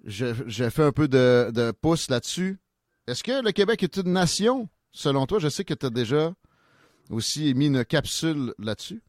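A man's voice: a little high, big pitch swings, moderate pace, dry recording, studio quality. A man with a Quebec French accent hosting an interview in a chatty way, with many fillers. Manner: chatty, with frequent fillers and affirmations. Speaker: podcast host. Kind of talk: casual interview conversation.

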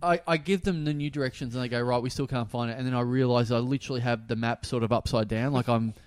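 A man's voice: mid-range voice, fairly even intonation, quick pace, clear, resonant tone, dry recording. A man co-hosting a comedy podcast, telling a long story in a rambling way and often repeating himself. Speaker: podcast co-host.

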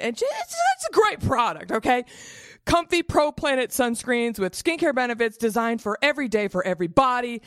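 A woman's voice: medium pitch, dry recording, clean studio sound. A woman in her thirties hosting a comedic beauty podcast in a bubbly, theatrical, playful way over a video call. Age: 30s. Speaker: podcast host.

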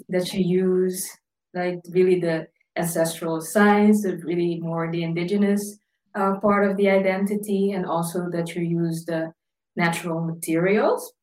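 A woman's voice: lowish voice, steady tone, measured pace, a little mellow, low-quality recording. A woman from the Dutch Caribbean with an accent from the islands, hosting a podcast in a thoughtful, chatty way with plenty of fillers. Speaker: podcast host. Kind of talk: casual podcast chat.

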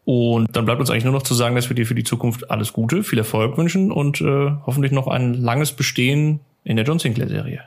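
Male podcast co-host, medium pitch with plenty of creak, informal and easygoing. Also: steady tone, quick pace, few pauses, darkish tone. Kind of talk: casual podcast chat.

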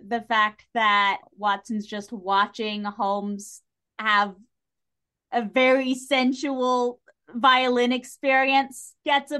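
A woman's voice: fairly high pitch, a bit bright. A woman speaking as a podcast guest, chatting casually and giving her opinions freely.

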